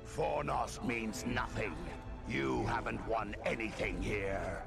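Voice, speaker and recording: A man playing an arrogant villain, a dark lord's right hand, in a fantasy game, with a gravelly, sneering, grandiose delivery. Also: on the low side, steady tone, takes it slowly. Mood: menacing contempt. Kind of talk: fantasy video game cutscene acting. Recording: loud music underneath.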